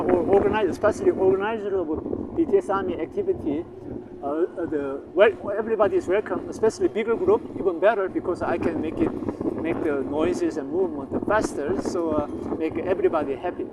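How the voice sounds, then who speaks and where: Korean guy rambling to his viewers outdoors, high voice, lots of uh and restarts, wind and boats loud behind him. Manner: halting, many fillers and repeated words, boastful. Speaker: vlogger promoting language courses. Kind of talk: unscripted talk to camera.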